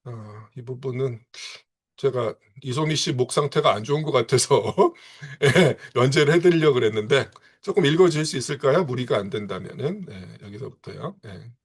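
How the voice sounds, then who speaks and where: Brief: male seminar lecturer, mid-pitched, halting delivery sprinkled with fillers. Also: animated, slightly rough. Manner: punctuates phrases with frequent filler affirmations.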